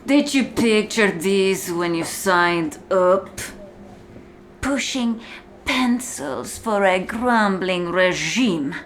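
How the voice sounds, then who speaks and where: A woman playing a contemptuous, commanding prison warden in a slow, deliberate, menacing ASMR-style roleplay monologue. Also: medium pitch, animated, a little hiss or hum. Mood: mocking. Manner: slow, deliberate, menacing, often dropping to a whisper.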